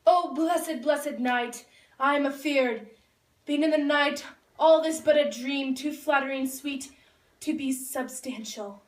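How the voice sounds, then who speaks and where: A woman's voice: sits high, takes it slowly. A woman playing an ardent, lovesick young nobleman in a puppet show, speaking romantic Shakespearean verse theatrically. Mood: awed, dreamy. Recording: roomy echo, distant microphone.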